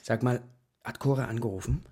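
Ein Mann als genervter Vater, belegte Stimme. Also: male voice, mid-range voice, fairly quick, dark tone, husky, lightly acted. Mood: distracted. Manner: scolding, impatient. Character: exasperated, stern father of a young girl. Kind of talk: children's radio play acting.

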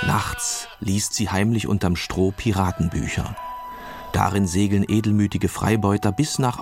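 A man narrating a scripted children's story in a measured, expressive storytelling manner. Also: on the low side.

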